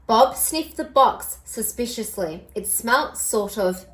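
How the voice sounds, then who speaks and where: A woman storyteller reading a children's picture book aloud in an expressive style. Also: fairly high pitch, takes it slowly, rough recording.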